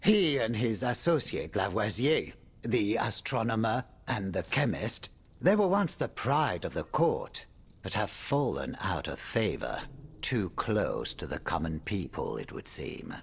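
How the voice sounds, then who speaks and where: Husky, theatrical French aristocrat. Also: male voice, on the low side, very animated, a little slow, exaggerated voice. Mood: worried, musing. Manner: theatrical, courtly, swinging between exclamation and confiding murmur. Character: urbane male French aristocrat, worried, wry, world-weary. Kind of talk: dramatic video game dialogue.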